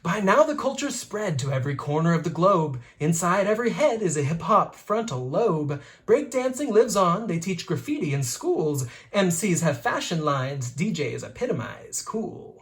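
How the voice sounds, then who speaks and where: Animated reader with lively, rhythmic delivery. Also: medium pitch, moderate pace, hardly any pauses. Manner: rhythmic, expressive, playful delivery. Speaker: teacher and host reading a children's book aloud. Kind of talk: rhyming picture-book read-aloud.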